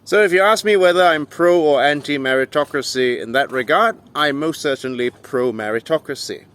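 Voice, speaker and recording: A man delivering an argumentative monologue to viewers in an emphatic, deliberate way, with rhetorical questions. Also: a little high, a bit bright. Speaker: video essayist and English tutor.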